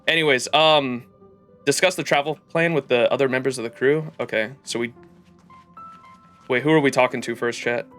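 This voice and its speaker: A man's voice: mid-range voice, moderate pace, pauses often, fairly bright tone. A man livestreaming a game, chatting casually with viewers in slangy, jokey, profane talk. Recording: game music under the voice. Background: game music.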